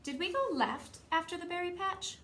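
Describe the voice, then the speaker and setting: A woman voicing excitable, playful young girl hikers from a picture book, in exclamations. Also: very high-pitched, normal speed, voice pushed up. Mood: complaining. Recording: roomy echo, faint background noise.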